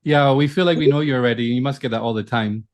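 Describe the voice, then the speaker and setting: A man's voice: medium pitch, fast talker. A man with a Scottish accent, hosting a podcast interview in a friendly, casual way. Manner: friendly, with fillers. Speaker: podcast host.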